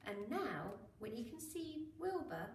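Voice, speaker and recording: A woman reading a children's story aloud in a dramatic, expressive way, with drawn-out emphasis on key words. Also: high-pitched. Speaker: school teacher reading to children. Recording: roomy, echoing, noisy, distant mic.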